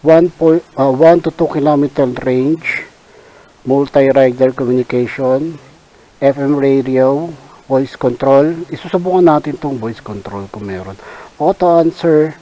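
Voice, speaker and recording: A Filipino man giving casual unboxing commentary as a vlogger, halting and thinking aloud in an unscripted way. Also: mid-range voice, fairly even intonation, warm tone, low-quality recording. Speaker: vlogger reviewing a product. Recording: hissy background noise.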